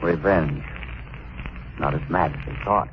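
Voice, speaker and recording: A man's voice: rumbling low, measured pace. An actor playing a vengeful, gloating escaped madman, taunting and theatrical, in a 1940s radio drama. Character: vengeful male madman, escaped asylum inmate, gloating villain. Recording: tinny, noisy 1940s radio broadcast.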